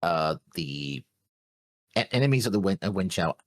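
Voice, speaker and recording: Low-voiced man with swinging pitch. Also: animated, normal speed, no room sound, studio quality. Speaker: reaction show co-host. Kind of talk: casual discussion of an anime episode.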